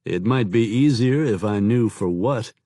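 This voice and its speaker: A man's voice: on the low side, unhurried, dark tone, no room sound. A man voicing a composed male military commander who speaks in a dry, understated way.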